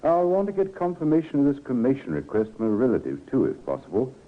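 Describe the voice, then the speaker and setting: An actor playing an officious, probing health registrar with a questioning manner, in old-time radio style on a tinny recording. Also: male voice, medium pitch, huge pitch swings, quick pace. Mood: officious, suspicious.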